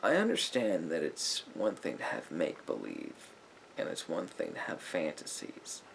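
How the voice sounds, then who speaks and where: A man playing a patient, probing therapist in a soft-spoken ASMR roleplay, with halting, deliberate phrasing and a gravelly voice that drops toward breathy near-whispers. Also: rumbling low, huge pitch swings, slight background noise, heavily acted, low-quality recording. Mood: calm, counselling. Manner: halting, deliberate phrasing with pauses and reflective murmurs. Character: patient, probing male therapist gently counselling a client.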